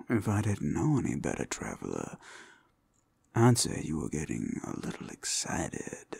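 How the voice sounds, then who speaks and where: A man playing a flirtatious, teasing werewolf in an intimate ASMR roleplay, with a husky, gravelly voice that often drops toward a whisper and a playful, seductive drawl. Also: low-pitched, darkish tone, full character voice. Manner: slow, playful, seductive drawl with pet names. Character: flirtatious, teasing male werewolf companion in a fantasy world. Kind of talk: ASMR roleplay, intimate scripted acting to the listener.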